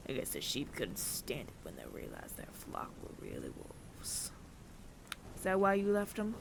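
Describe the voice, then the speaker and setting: A man playing a weary older android bounty hunter with reluctant mercy in an intimate audio roleplay, breathy and often near-whispered, deliberate and wry. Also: sits high, huge pitch swings, background noise, full character voice. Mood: softening, grave.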